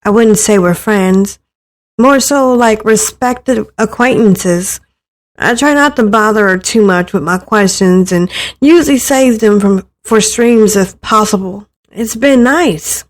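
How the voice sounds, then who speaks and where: A woman's voice: mid-range voice, measured pace, lightly acted. A woman voicing an insecure, earnest eighteen-year-old daughter in a visual novel reading, hesitant and prone to stammering. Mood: hopeful.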